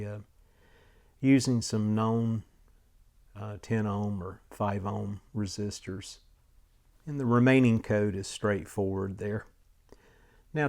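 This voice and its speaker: A man with a fairly low voice gives an informal technical walkthrough as a hobbyist presenter. His delivery is halting, often broken mid-phrase by small hesitations and uhs. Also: darkish tone.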